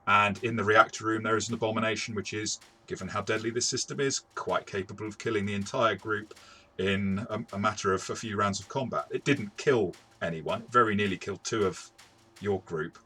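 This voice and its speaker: A man's voice: on the low side, a little fast, pushed and bright. A man chatting through a tabletop game review as its game master, hesitant and often repeating words as he goes. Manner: hesitant, stop-start delivery with repeated words.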